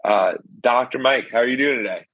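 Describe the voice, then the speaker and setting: A man's voice: mid-range voice, fairly quick, no room sound, phone-quality sound. A man hosting a podcast, greeting listeners and interviewing a guest in an upbeat, casual way. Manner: upbeat, casual, "what's going on guys". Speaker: podcast host.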